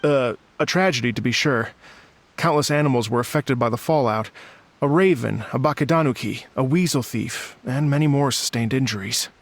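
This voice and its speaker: A man voicing a thoughtful, polite musician in a video game, speaking in a measured, courteous, gently hesitant way. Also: medium pitch, lightly acted. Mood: solemn. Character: thoughtful, polite male musician, calm storyteller.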